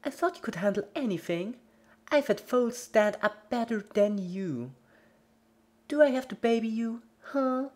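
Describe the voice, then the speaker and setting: A manic young cartoon pony villainess, pitched far up. She is bubbly and chatty, with a petulant, scolding streak under the cheer. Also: expressive, measured pace, darkish tone. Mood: pouty, indignant.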